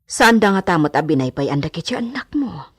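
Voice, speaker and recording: A radio drama housemaid played by a woman, frank and fussy, with a deep female voice that is husky at the edges. She talks bluntly and gossips like a bossy big sister, recorded close and dry. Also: big pitch swings, fairly quick, no echo. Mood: concerned, scolding. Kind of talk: Ilocano radio drama acting.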